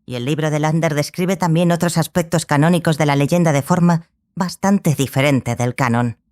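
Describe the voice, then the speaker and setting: A woman's voice: sits low, normal speed, a little mellow, dry recording, studio quality, natural voice. Woman acting brusque, firm female scholar. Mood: firm, resigned. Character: brusque, authoritative female scholar of legends, mentor figure. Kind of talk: audiobook drama acting.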